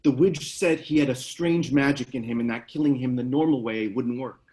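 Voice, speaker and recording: A man playing an earnest, uneasy researcher of dark lore in a screenplay table read, explaining things hesitantly. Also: medium pitch, moderate pace, close to an everyday voice, rough recording. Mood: grave, explaining.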